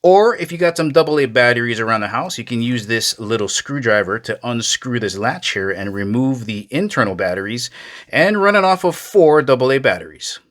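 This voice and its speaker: A man with a bright, forward voice presents a product review. His pitch moves up and down a lot as he goes. He keeps the wording casual and folksy, speaking straight to the viewer. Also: lively intonation, brisk. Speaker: product reviewer, video host. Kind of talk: casual hands-on gadget review narration.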